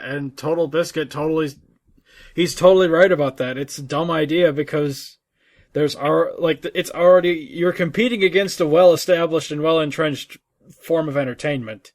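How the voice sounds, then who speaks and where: Male podcast co-host, a little high in pitch, rambling, halting, sweary casual talker. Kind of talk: casual chat.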